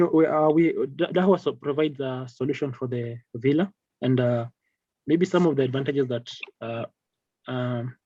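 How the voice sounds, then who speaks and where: A man giving an informal product training over slides in a halting, repetitive, hesitant way. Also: medium pitch, warm tone, breathy edge, no echo, highs cut off. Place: online video call with screen-shared slides.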